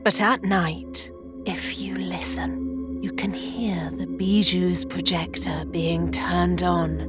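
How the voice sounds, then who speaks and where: A woman giving a scripted, atmospheric radio drama introduction in a measured, ominous storytelling manner. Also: lowish voice, fairly even intonation. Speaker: announcer, narrator. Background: music.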